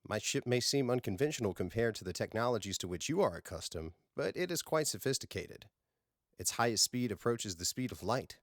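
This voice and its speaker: A man voicing a seasoned starship captain with formal, measured, courteous phrasing in an audiobook. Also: medium pitch, lively intonation, dry recording, clean studio sound, voice pushed up, natural voice. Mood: formal, commanding.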